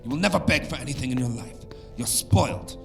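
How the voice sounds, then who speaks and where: A man's voice: mid-range voice, animated, quick pace, creaky, over music. An actor playing a harsh, domineering royal father in an audio drama, stern, scolding and full of imperious contempt. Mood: angry, scornful.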